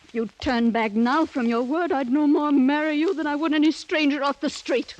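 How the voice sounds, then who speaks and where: A woman's voice: high-pitched, steady tone, moderate pace, lightly acted. An actress playing a proud, passionate young noblewoman in love, earnest, in a 1940s radio drama with muffled vintage sound. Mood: proud, wounded.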